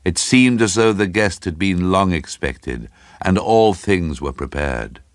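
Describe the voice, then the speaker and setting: A man reading a horror story for radio drama in a grave, suspenseful manner with deliberate, dramatic phrasing. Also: low voice, lively intonation, dry recording, studio quality.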